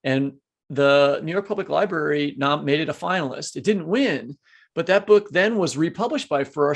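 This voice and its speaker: A man's voice: on the high side, gravelly. A man co-hosting a conversational panel discussion, reflective and searching for his words. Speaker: podcast co-host.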